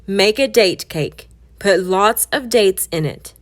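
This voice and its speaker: A woman voicing a busy, fretful lady of the house in a children's storybook, expressive and emphatic as she gives instructions. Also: medium pitch, slow pace. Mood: busy instructing.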